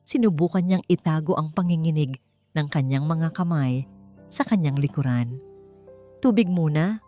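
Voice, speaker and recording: Low-voiced Filipino woman narrating over music. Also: moderate pace, warm tone. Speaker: audiobook narrator. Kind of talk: dramatic scripted story narration. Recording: music bed under narration. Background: background music.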